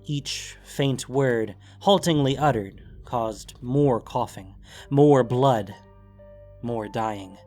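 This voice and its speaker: A man reading scripted story narration with dramatic pauses and deliberate phrasing. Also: medium pitch, darkish tone. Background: music.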